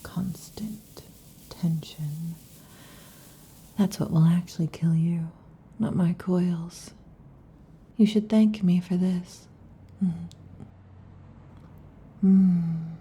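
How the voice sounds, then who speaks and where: A woman voicing a restless, affectionate female lamia in an ASMR roleplay, whispering softly. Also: on the low side, steady tone, extremely slow, pauses often, breathy edge, heavily acted. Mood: whispery, intimate. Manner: soft intimate whispering. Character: restless affectionate female lamia, snake-tailed monster girl.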